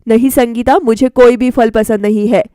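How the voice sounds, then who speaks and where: A woman voicing a reluctant but affectionate young husband in a storybook, hesitant and gently refusing. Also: a little high, fairly even intonation, moderate pace, no room sound, studio quality, natural voice.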